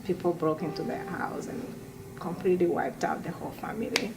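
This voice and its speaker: A young woman from Rwanda telling her life story in an interview, soft-spoken and halting, often restarting her sentences. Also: lowish voice, poor audio. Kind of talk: personal testimony interview. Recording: music bed underneath.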